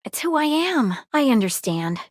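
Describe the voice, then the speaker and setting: An eager, flirtatious female sleuth from a video game. Her voice sits a little high and swings around in lively, theatrical lines. She speaks plainly and earnestly, in a very dry, close recording. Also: moderate pace, dry recording. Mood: sincere, measured. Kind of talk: expressive scripted game character dialogue.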